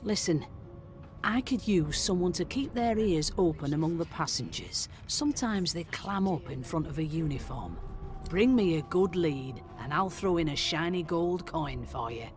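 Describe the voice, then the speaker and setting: A woman's voice: low voice, animated, moderate pace, soft background music. A woman playing a grim, matter-of-fact guard investigator with a wry edge in a video game. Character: grim, businesslike female magister guard investigating a murder.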